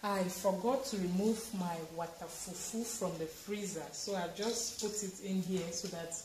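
Woman, thirties, Cameroonian accent, casual expressive vlog chat, roomy sound, background noise. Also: on the low side, moderate pace. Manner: expressive, exclamatory, chatty. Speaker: home vlogger. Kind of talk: casual chat to camera while doing housework. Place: tiled home kitchen, indoors. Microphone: camera or phone at arm's length and further. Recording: echoey tiled room with clear noise.

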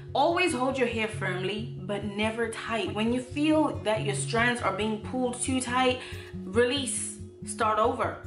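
A woman's voice: on the high side, echoey room. A young woman, giving a casual, upbeat hair tutorial in her bedroom, with playful asides. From Zimbabwe. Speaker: natural hair vlogger. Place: bedroom, indoors.

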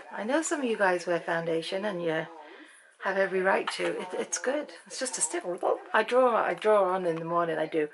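A woman in her fifties from Britain with an English accent, chatting to a handheld camera in a dim room at night. Her voice is croaky, and she rambles and laughs often. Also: medium pitch, rough recording. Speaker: vlogger. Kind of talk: casual chat to camera. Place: bedroom at night, dim lamp light. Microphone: handheld camera at arm's length.

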